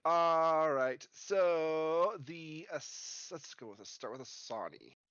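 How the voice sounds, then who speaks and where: Slow-talking man with dull, dry sound. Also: a little high, expressive, a bit bright, smooth, no room sound. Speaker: tabletop game player handling the virtual map. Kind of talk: casual chat.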